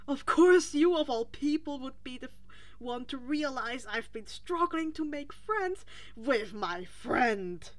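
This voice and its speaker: A woman's voice: high-pitched, lively intonation, slow. A woman voicing an exasperated, grumbling teenage son in a story, with an irritated, huffy manner.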